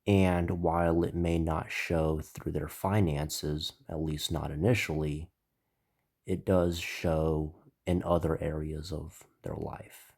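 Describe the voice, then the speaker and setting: A man hosting his own channel, speaking with a stuffy, slightly nasal voice in a slow, reflective spiritual monologue. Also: rumbling low, level tone, vocal fry, darkish tone. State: stuffy, congested. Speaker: channel host.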